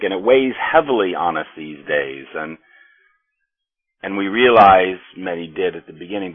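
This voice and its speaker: Slow, husky American preacher. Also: male voice, lowish voice. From United States. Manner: slow, measured preaching with pauses. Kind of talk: sermon. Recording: tinny phone-like audio.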